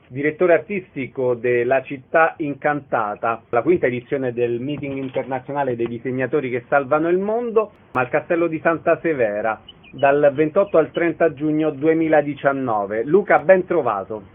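Casting: male radio presenter, medium pitch, interview hosting, tinny band-limited audio. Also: animated, normal speed, faint music behind. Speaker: radio host.

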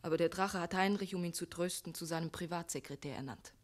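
Gentle doomed maiden played by a woman, radio drama, lowish breathy voice, soft, resigned. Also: fast talker, close to an everyday voice. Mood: resigned sadness. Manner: soft, breathy, sad calm. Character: gentle, resigned young maiden facing sacrifice. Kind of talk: German radio play acting.

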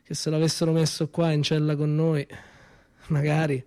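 Man telling a quiet stage monologue. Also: a little high, completely flat delivery, normal speed. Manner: conversational, with hesitant repeated words and sudden soft drops. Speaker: stage actor performing a monologue. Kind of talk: theatrical monologue, first-person storytelling.

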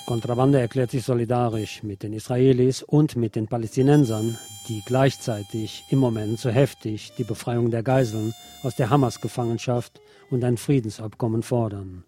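A man hosting a radio show, reading a scripted personal essay in measured, short literary phrases. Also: mid-range voice, mellow. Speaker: radio host.

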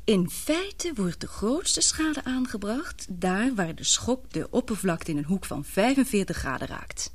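A husky, earnest, frightened young woman. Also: mid-range voice, sing-song, unhurried, few pauses. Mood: earnest, insistent. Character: frightened young woman confiding in her lover. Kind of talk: radio play acting.

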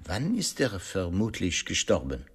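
An actor playing a thoughtful, methodical detective who questions witnesses with measured courtesy in a radio play. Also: male voice, low voice, wildly swooping pitch, slightly rough, exaggerated voice. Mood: probing, courteous. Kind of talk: German radio play acting.

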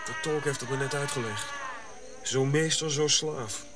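A man playing a cynical, gruff colonial officer in a radio drama, blunt and sardonic. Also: medium pitch, normal speed, heavy background noise, natural voice. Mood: sardonic, irritated.

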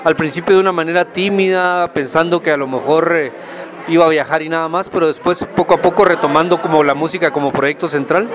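A man's voice: fairly high pitch, steady tone, fast. A Guatemalan man giving reflective, conversational interview answers, often checking in with short tag questions. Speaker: guest singer-songwriter. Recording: music and crowd noise behind.

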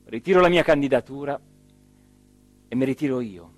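A male actor performs a brooding, weary villager in a literary theatre monologue. He is solemn and deliberate, letting pauses hang at an average male pitch. Plucked guitar music plays behind him. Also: lightly acted. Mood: declamatory, bitter. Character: weary, brooding village man, mayoral candidate addressing voters.

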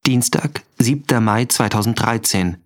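Sachlicher männlicher Erzähler, mittlere Stimmlage. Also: male voice, medium pitch, measured pace. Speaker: announcer and narrator in a radio drama. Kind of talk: scripted narration.

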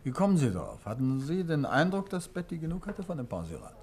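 An actor playing a composed, courteous gentleman detective in a 1950s German radio play, speaking thoughtfully with a husky voice. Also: fairly high pitch, very animated, fast talker. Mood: serious, probing. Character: composed, probing gentleman detective, husband of his companion.

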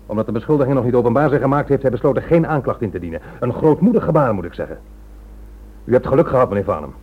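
A man's voice: medium pitch, expressive, rapid-fire, husky. A man playing a self-assured, sardonic and slippery police inspector in a radio drama, formal in manner. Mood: condescending. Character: smooth-talking, condescending, slippery male police inspector. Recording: muffled old radio recording.

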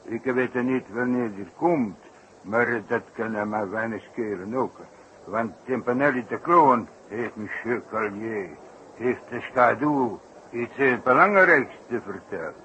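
Bright-voiced, boastful circus clown, old radio. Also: male voice, medium pitch, animated, takes it slowly. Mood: solemnly boastful. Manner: wordy, self-important, repeats himself. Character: excitable, boastful old-fashioned circus clown with foreign flourishes. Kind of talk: 1960s radio play acting. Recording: dull, noisy 1960s radio recording.

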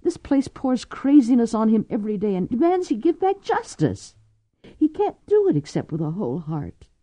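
A woman's voice: fairly high pitch, very animated, moderate pace, dark tone, no echo, voice pushed up. A woman voicing a frightened, tearful pregnant young woman with an urgent manner. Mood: pleading. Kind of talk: audiobook character voice. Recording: dull lo-fi audio.

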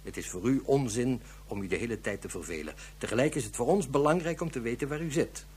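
An actor playing a grave, cautious interplanetary police inspector in a radio drama, speaking in a deliberate, official manner. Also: male voice, mid-range voice, animated, a little mellow, a little hiss or hum, close to an everyday voice.

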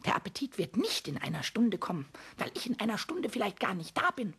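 A man playing a cheeky, childlike little kobold in a children's radio play, with a high, squeaky, raspy voice and excitable, stammering wordplay. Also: fairly quick, slight background noise, heavily acted. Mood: mischievous.

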